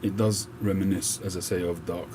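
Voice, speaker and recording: A man with a Cockney-tinged London accent, chatting slowly and calmly on a livestream with many pauses and fillers. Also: low-pitched, noisy background. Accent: London, Cockney with a North London mix. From England. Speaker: livestream host. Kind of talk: relaxed live chat.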